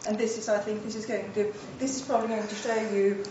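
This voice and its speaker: A woman's voice: mid-range voice, level tone, fast, mellow. Distant echoey woman introducing a speaker. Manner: hesitant, uh and um fillers. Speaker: conference moderator introducing speaker. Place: conference room. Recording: echoey room from far off, muffled, noisy.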